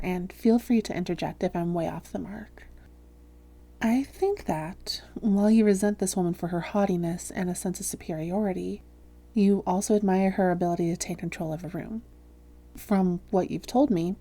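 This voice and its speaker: A woman playing a calm female vampire therapist in a roleplay audio, speaking softly, gently and in a measured, reassuring way. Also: lowish voice. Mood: calm, probing. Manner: measured, gentle, reassuring, breathy hushed phrases. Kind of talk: soft-spoken roleplay audio, therapist addressing listener.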